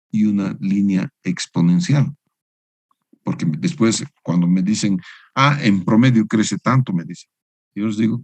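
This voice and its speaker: A man teaching an engineering class over a video call, with a lowish voice. He lectures in a didactic way and keeps asking his students for agreement. The sound is dry and close, with no room around it. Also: a little mellow, no echo. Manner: didactic, keeps adding the tag question "no es cierto". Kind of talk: online university lecture.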